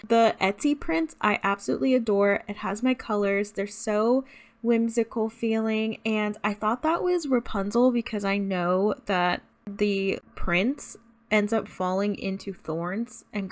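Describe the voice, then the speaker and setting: A woman giving a casual, chatty voice-over as a home decor vlogger, talking directly and informally to her viewers. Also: mid-range voice.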